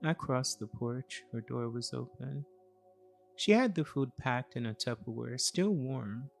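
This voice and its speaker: A man narrating a scripted first-person story in a solemn, reflective, measured way. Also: medium pitch, animated, creaky, faint music behind.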